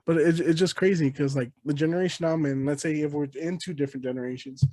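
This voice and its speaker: A man's voice: fairly high pitch, fairly even intonation, fast talker, dark tone, dry recording. A man in his late twenties hosting a casual podcast chat, easygoing and agreeable, often restarting his sentences. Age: 20s. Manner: laid-back, agreeable, rambling restarts. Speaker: podcast host.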